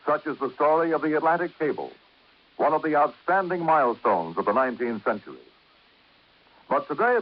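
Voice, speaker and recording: Animated formal male radio announcer, slightly muffled. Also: medium pitch. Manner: formal, declamatory. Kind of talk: scripted narration, 1950s radio. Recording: muffled old radio recording.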